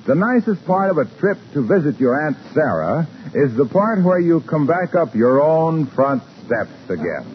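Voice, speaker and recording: A man's voice: fairly high pitch, big pitch swings, normal speed, hardly any pauses, over music. A man announcing a 1940s radio comedy in scene-setting narration, on a muffled, noisy old recording.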